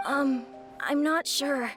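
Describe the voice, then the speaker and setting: A high-voiced earnest young boy. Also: slow, fairly bright tone. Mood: worried. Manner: hesitant, earnest. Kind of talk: scripted video game voice acting. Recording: music behind.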